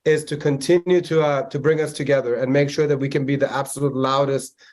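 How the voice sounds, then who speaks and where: A man in his forties, born in Germany to a Persian family, answering interview questions in a measured, reflective way with frequent filler words. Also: mid-range voice, level tone, a little mellow. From Germany, of Persian family, moved to the US at ten. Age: 40s. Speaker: podcast guest, community organizer. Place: Miami.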